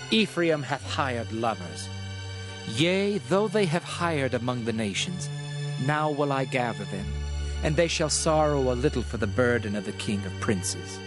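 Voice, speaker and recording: A man's voice: mid-range voice, natural voice. A man playing a stern prophet who speaks for an angry God, declaiming scripture solemnly in a dramatized reading. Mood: stern, wrathful.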